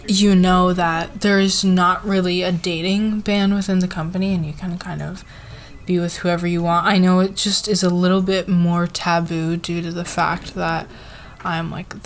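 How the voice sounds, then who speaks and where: A woman playing a flustered, fair-minded company boss in a casual roleplay ASMR, with a halting, informal, giggly manner. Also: on the low side, slight background noise, natural voice.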